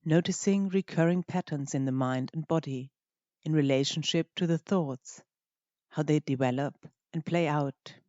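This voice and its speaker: A meditation teacher gently guiding a practice in short, unhurried phrases with pauses between them. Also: mid-range voice, no echo, muffled recording. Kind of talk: guided meditation narration.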